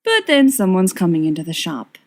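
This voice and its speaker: A woman's voice: fairly low pitch, wildly swooping pitch, brisk. A woman narrating a written story expressively, with wry, dramatic emphasis. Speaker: audiobook reader of fan fiction.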